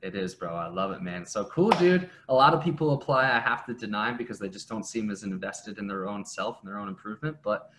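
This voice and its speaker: A man's voice: lowish voice, lively intonation, a little fast, few pauses, fairly bright tone, a little hiss or hum. A young man working as a coach, talking in an upbeat, slangy bro style on a video call from home. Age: young adult. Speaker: health and business coach. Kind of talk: conversational sales call. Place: home basement room.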